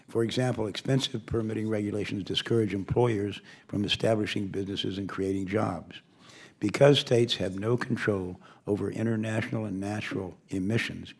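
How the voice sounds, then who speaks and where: An older man with a hoarse voice, reading a formal opening statement as committee chairman with occasional asides. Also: fairly low pitch, darkish tone.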